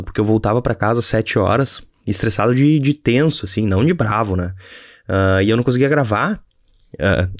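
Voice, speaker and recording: A man's voice: medium pitch, dry recording, studio quality. A young man with a Rio Grande do Sul accent, hosting a rambling, casual solo podcast with many restarts and tag questions. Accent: Rio Grande do Sul Portuguese. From southern Brazil. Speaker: podcast host.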